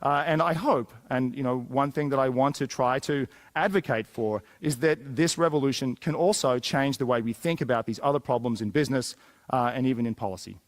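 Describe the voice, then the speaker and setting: A man's voice: mid-range voice, a little fast. A man giving a prepared talk, earnestly arguing for an idea he advocates. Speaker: speaker.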